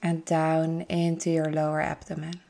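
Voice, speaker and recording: A woman leading a guided meditation in a soothing, gentle, unhurried manner with long pauses. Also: sits low, completely flat delivery, creaky. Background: forest nature sounds with birds.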